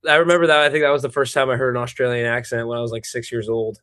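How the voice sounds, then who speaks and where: A man's voice: medium pitch, little pitch movement, racing, a bit bright. A young man chatting as a band guest, easygoing and hesitant with lots of "uh" and "you know". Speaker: musician guest. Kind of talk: casual chat.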